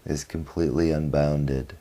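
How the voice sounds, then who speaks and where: A man guiding a meditation in a very low, mellow voice. He speaks slowly and flatly, with little rise and fall, in short phrases. Long pauses separate them. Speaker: meditation teacher. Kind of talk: guided meditation.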